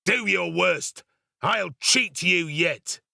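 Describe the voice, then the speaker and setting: A man's voice: high voice, takes it slowly, gravelly, sharp tone, no room sound, pitched above the performer's own voice, exaggerated voice. A man voicing a brutal, desperate murderer who speaks fiercely and menacingly, in an audiobook reading. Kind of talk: audiobook character voice.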